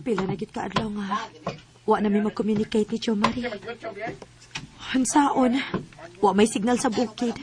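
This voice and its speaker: A woman's voice: mid-range voice, fairly even intonation, moderate pace, long pauses, close to an everyday voice. A woman playing a tender, aggrieved young woman in a Philippine radio drama, with an emotive, pleading delivery. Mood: anxious, upset.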